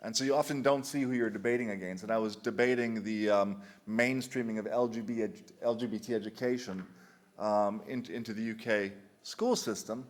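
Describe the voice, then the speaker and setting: Man in his thirties, conference speaker; easygoing delivery, wry asides, halting restarts. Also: fairly low pitch, fairly even intonation. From United Kingdom. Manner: conversational, frequent restarts and ums, dry humor. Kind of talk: conference presentation. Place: conference hall.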